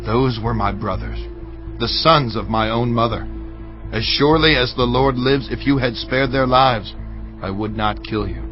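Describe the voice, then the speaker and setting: An actor playing a battle-weary, stern war leader in a dramatized scripture reading, speaking in a deliberate, declamatory way. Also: male voice, mid-range voice, close to an everyday voice. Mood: grim. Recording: dull audio with music underneath. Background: music.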